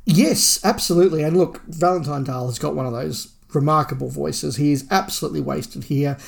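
A man's voice: fairly high pitch, fairly quick, vocal fry. A man co-hosting a podcast, giving opinionated, emphatic views in a casual review discussion.

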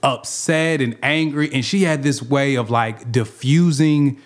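A man's voice: medium pitch, unhurried. Man talking casually, explanatory and informal. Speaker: youth ministry worker. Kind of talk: casual panel discussion.

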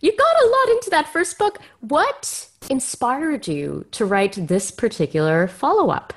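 A woman's voice: sits high, sing-song, a little slow, slightly rough. A woman hosting a podcast, speaking with effusive emphasis and dramatic phrasing. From Canada. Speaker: podcast host. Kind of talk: scripted podcast introduction and interview.

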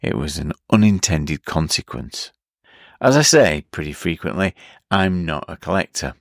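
A man's voice: very deep voice, very animated, moderate pace, vocal fry, no room sound, studio quality. A middle-aged man hosting a podcast, speaking in a reflective solo narration. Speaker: podcast host.

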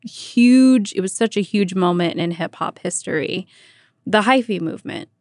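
A woman's voice: mid-range voice, moderate pace, a little mellow, no room sound. An American woman hosting a radio interview, asking questions conversationally and often throwing in "like" as she talks.

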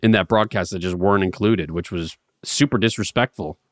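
A man's voice: low-pitched, fairly quick, no room sound, clean studio sound. A young man co-hosting a podcast, talking earnestly and rambling with stammered restarts. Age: young adult. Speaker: podcast co-host. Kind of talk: casual podcast banter.

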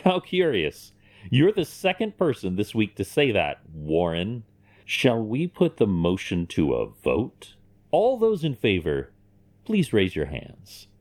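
A man's voice: on the low side, wildly swooping pitch, darkish tone, heavily acted. An actor playing a confident, commanding billionaire businessman with cool, wry, pointed delivery in an audio drama. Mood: wry, smug. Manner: deliberate, declarative, pointed.

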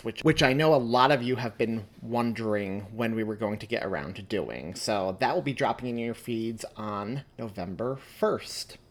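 Enthusiastic man hosting a podcast. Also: mid-range voice. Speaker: podcast host. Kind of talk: upbeat scripted podcast announcements.

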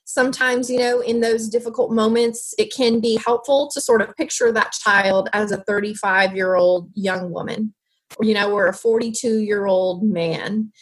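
A woman's voice: mid-range voice, fairly even intonation, normal speed, poor audio. A woman who grew up as a military kid, a foster parent speaking as a guest and giving earnest encouragement. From moved around as a military child. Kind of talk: encouraging advice.

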